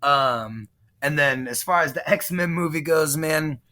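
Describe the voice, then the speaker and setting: A man's voice: a little high, unhurried, pushed and bright. A man from Texas, a pro wrestler guest, talking in a boastful, menacing trash-talk promo style. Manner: boastful, menacing, heel persona.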